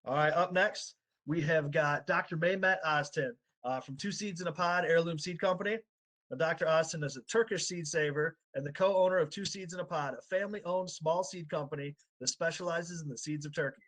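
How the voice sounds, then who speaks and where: A man hosting an online panel, upbeat and quick when he gets going. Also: fairly high pitch, a bit bright. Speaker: panel host.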